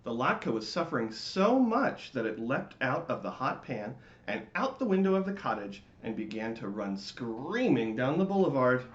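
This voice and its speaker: A man giving a theatrical, expressive dramatic reading of a storybook. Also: mid-range voice, normal speed. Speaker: storybook reader. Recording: roomy, dull, faintly noisy home recording.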